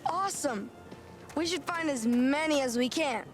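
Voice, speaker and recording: A woman's voice: sits high, normal speed, soft background music, close to an everyday voice. A woman voicing an eager young child playing at fantasy adventure in a video game. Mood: curious, eager.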